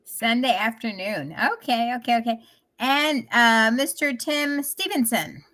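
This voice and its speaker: A woman's voice: fairly high pitch, unhurried, fairly bright tone. A woman co-hosting a panel talk show in a chatty, earnest, encouraging and emphatic way.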